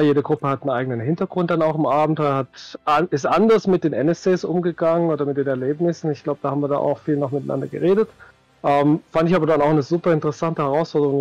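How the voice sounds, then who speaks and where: A man's voice: fairly high pitch, darkish tone, no room sound, dull audio. A middle-aged man chatting casually as a guest over a headset on a video call. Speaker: guest, new game master. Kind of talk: casual chat. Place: room at home, on video call. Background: music under the voice.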